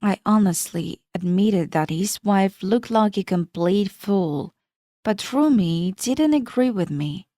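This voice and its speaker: A woman's voice: medium pitch, lively intonation, slow, dark tone, dry recording, clean studio sound. A woman narrating a first-person life story in a plain, matter-of-fact reading.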